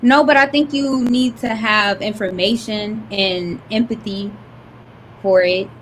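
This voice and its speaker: A young woman with a high, light voice talks in a relaxed, giggly way, filling her sentences with "like" and "um." A faint hiss runs under the recording. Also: a little slow, poor audio. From Detroit. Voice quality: high-pitched voice. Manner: laid-back, giggly, lots of "like" and "um". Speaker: author, podcast guest. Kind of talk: casual chat.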